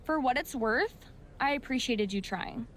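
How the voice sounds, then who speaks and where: A woman's voice: fairly high pitch, animated, moderate pace, natural voice. A woman playing a young, admiring and independent-minded rookie wrestler in a video game cutscene, speaking earnestly. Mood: sincere.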